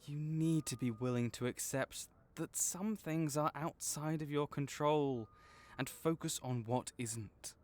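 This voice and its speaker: A man playing a kind, patient veterinarian for magical creatures in a comforting audio roleplay, explaining things gently and encouragingly. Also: a little high, unhurried, close to an everyday voice. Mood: firm, reassuring. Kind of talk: comforting audio roleplay, one-sided conversation.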